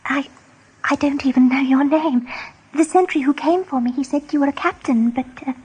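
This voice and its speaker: Actress as timid yet plucky young rabbit doe: high register, earnest, halting delivery, radio play. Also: female voice, darkish tone, natural voice, rough recording. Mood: anxious, resolving. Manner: hesitant, breathy, earnest. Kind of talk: 1980s radio play acting.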